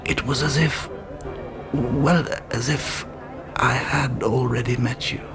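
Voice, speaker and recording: An actor playing a shy, well-spoken gentleman suitor in a period radio drama, in a quiet, weak voice, hesitant and apologetic. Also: mid-range voice, steady tone, takes it slowly, creaky. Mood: ingratiating, polite. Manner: hesitant, apologetic, formally polite. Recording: music behind the dialogue. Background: music.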